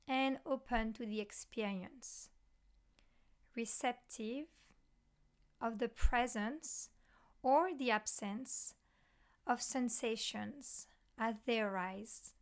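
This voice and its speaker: A woman teaching mindfulness, leading a guided meditation in measured short phrases with pauses between them. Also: fairly high pitch.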